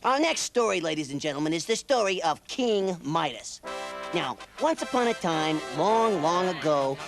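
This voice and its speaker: A man's voice: a little high, expressive, moderate pace, gravelly, music in the background. A man voicing a brash, streetwise rat in a puppet comedy, cheeky and mock-grand as he banters and tells tales. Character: wisecracking streetwise male rat, brash and excitable.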